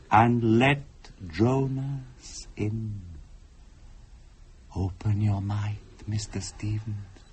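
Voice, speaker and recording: Very slow, solemn séance medium. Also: male voice, on the low side, a little mellow, slight background noise. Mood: insistent chanting. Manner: hypnotic, deliberate, incantatory, formal. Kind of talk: radio horror drama acting.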